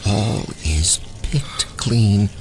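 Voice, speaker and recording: A man's voice: lowish voice, very slow, darkish tone, slight background noise. An actor playing a sinister man in an audio drama, ominously intoning about feasts and swarms like an incantation. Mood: ominous, reverent. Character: sinister man chanting of corpses and a swarming horde.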